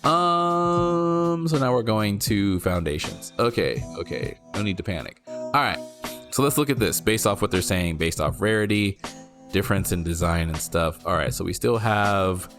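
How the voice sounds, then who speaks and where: Card game content creator, non-native English speaker, low-ish voice, reads aloud, rereads, reacts with bursts of excitement, music bed behind. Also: male voice, moderate pace. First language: not English. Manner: thinking aloud, rereads lines, sudden excited outbursts. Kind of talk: casual commentary reacting to and reading an article.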